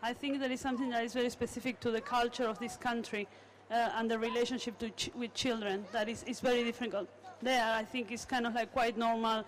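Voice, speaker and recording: A woman's voice: a little high, little pitch movement, quick pace, hardly any pauses, rough recording. A woman from South America giving opinionated, conversational remarks in an informal group discussion. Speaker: discussion participant, parent.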